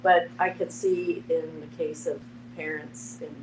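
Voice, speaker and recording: A woman's voice: low voice, steady tone, a little slow. A mature woman thinking aloud hesitantly as she takes part in a casual, thoughtful discussion. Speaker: discussion participant. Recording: very noisy room, distant mic.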